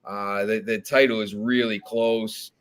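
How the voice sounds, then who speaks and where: A man's voice: lowish voice, little pitch movement, a little slow. A man, chatting casually about soccer bets, rambling with restarts and fillers. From New Jersey, United States. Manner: rambling, repeats words, many fillers. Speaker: soccer betting guest. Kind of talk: casual betting chat.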